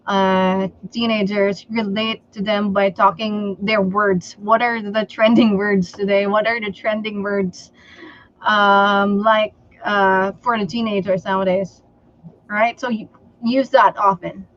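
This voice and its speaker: Slow, deliberate woman sales trainer. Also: medium pitch, level tone, dull audio. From Philippines. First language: Tagalog. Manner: slow and deliberate, many fillers like okay and um. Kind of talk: livestream interview, advice.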